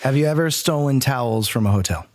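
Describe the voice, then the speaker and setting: A man's voice: medium pitch, fast, darkish tone. A man hosting a podcast in casual banter, talking in a wordy, playfully mock-formal way. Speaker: podcast host.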